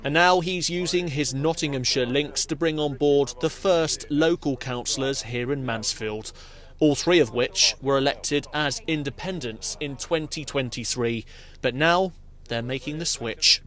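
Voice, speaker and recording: Male TV news reporter, British, mid-pitched, measured and emphatic, for scripted packages and live reports. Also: fairly bright tone.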